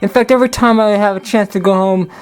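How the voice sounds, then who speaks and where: A woman's voice: mid-range voice, steady tone, quick pace, a little mellow, clear, resonant tone, soft background music, close to an everyday voice. A woman voicing a young Irish pirate seaman telling his story in the first person, earnest and plodding.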